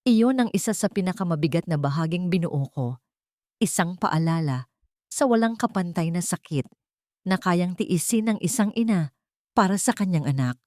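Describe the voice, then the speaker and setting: A woman narrating a scripted sentimental story in a measured, earnest way. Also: lowish voice, a little mellow, no echo, clean studio sound. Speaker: storyteller, narrator of audio drama. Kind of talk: scripted dramatic first-person narration.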